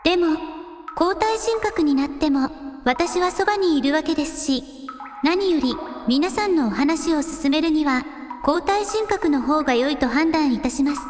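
A woman voicing a gentle, earnest young shrine maiden who speaks in very polite, measured Japanese radio drama style. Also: sits high, lively intonation. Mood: polite, calm. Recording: long reverb with music behind.

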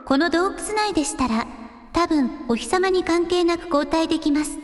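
A woman voicing a gentle, earnest young shrine maiden who speaks in very polite, measured Japanese radio drama style. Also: very high voice, animated. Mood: polite, calm. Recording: long reverb with music behind.

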